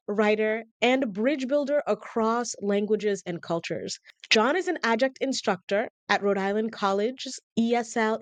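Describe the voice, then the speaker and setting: A woman speaks as a podcast host in a polished, articulate way, reading prepared introductions and questions. Her voice sits at a middle pitch, and the sound is close and dry. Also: no room sound. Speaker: podcast host, communication coach. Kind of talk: scripted podcast introduction and interviewing.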